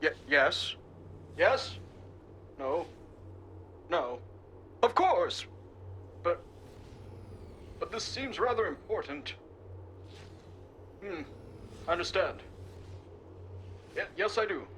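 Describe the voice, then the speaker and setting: A man's voice: high voice, sing-song, extremely slow, long pauses, a bit bright, slight background noise, heavily acted. A man playing a sensationalist street news reporter in a cyberpunk audio drama, theatrical, punchy and sardonic. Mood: flustered, deferring. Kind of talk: dramatic live news broadcast acting.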